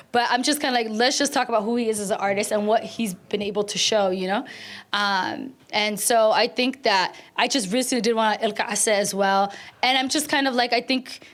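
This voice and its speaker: A woman's voice: a little high, fairly even intonation, a little fast. A woman writer on a casual panel, chatty, slipping Somali phrases into her English.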